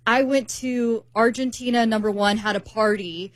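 A young woman in casual chat, telling an animated story and acting out the people she quotes. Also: on the high side, normal speed, dry recording. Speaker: co-host telling a dating story.

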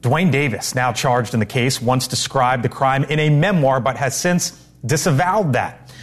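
A man reading the news as anchor, crisp and brisk. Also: mid-range voice.

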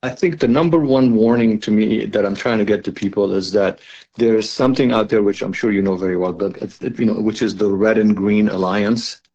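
Man in his sixties, from Lebanon, interview guest, halting delivery, creaky voice, muffled lo-fi line. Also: on the low side, darkish tone. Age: 60s. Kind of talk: conversational radio interview. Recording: dull lo-fi remote connection.

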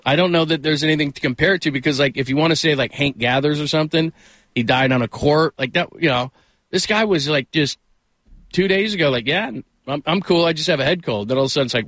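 A man's voice: fairly high pitch, lively intonation, quick pace, fairly bright tone, no echo. A man hosting a casual sports talk radio show, rambling conversationally through stories with self-deprecating asides. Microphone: close studio microphone.

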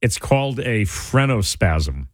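A man co-hosting a radio call-in show, emphatic and animated, his voice starting to go. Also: on the low side, slow, no echo. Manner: animated, emphatic, talks through restarts. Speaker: radio co-host. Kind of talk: lively radio call-in chat. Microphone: studio microphone.

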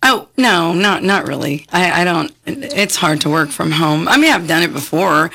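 Woman, mayor as radio guest, raspy, bright, lively. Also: on the low side. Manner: energetic, quick, restarts often. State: raspy voice from talking a lot. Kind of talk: casual radio interview. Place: radio studio. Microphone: studio microphone.

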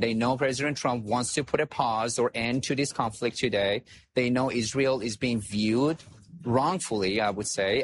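A man's voice: medium pitch, slight background noise. A man from Iran, a guest analyst on a talk show, speaking firmly and with emphasis. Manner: firm, measured, emphatic.

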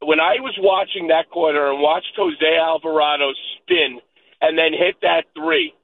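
A man's voice: a little high, steady tone. A man from Connecticut calling in to a radio show by phone, eagerly running through his points. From Connecticut, USA. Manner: eager, rapid-fire talker listing points. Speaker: radio caller. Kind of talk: call-in chat. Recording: tinny phone line with faint noise.

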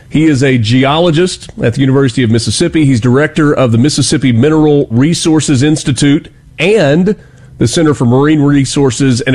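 A man's voice: mid-range voice, darkish tone. A man interviewing a guest as host, speaking in a courteous, easygoing and conversational broadcast style.

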